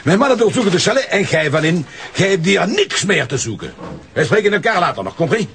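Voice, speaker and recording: An actor playing an irritable, bossy Flemish gendarmerie commander in a radio play, curt and barking. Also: male voice, high voice, big pitch swings, fairly quick, a bit bright, slight background noise, heavily acted. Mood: bossy, irritated. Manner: curt, barking, peppered with French.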